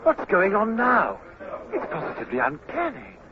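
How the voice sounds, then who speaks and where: An actor playing an eager, dutiful young naval officer in a radio drama, earnest and deferential, with a British accent. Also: male voice, sits high, wildly swooping pitch, moderate pace, crowd noise. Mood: nervous, excited. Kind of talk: old-time radio drama acting. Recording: muffled, noisy old radio recording.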